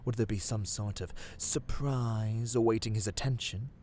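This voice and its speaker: A man giving a measured, theatrical and formal storybook narration for an ASMR roleplay. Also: lowish voice, darkish tone, a little hiss or hum.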